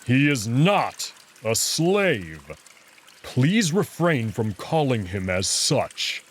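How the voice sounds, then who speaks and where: Man playing a slow, stern wolf protector. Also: mid-range voice, big pitch swings, breathy edge, heavily acted. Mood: angry, restrained. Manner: curt, guarded, slow and firm. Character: stern, protective male anthropomorphic wolf, big and proud. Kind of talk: visual novel character voice.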